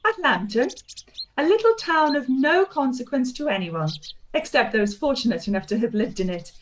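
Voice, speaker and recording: A warm, gushing aunt, voiced by an actress, her voice high and very lively in its pitch. She talks expressively in a live-read radio play. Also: normal speed, a little hiss or hum, poor audio. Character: warm middle-aged aunt, fond of home.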